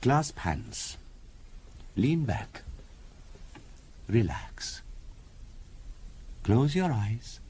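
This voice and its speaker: An actor playing an earnest, formal spiritualist medium in a 1970s radio drama, measured and insistent in delivery. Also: male voice, medium pitch, very animated, husky, exaggerated voice. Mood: hushed, hypnotic. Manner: measured, formal, insistent, sometimes hushed and hypnotic.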